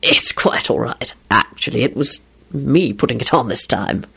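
A woman's voice: sits low, sing-song, moderate pace, breathy edge, heavily acted. A woman voicing a weary, kindly, melancholy middle-aged man in an audiobook, with a wry, muttering manner. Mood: subdued.

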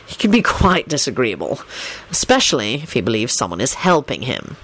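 A woman voicing a calm, dignified male law officer with a polite, measured, dry manner in a story reading. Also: very deep voice, husky, voice pushed down, heavily acted, low-quality recording. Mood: serene.

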